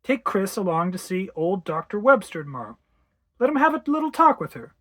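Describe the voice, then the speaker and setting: A woman's voice: mid-range voice, sing-song, a little fast, voice pushed up. A woman voicing a breezy, teasing husband with a light, matter-of-fact manner in a story reading. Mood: reassuring.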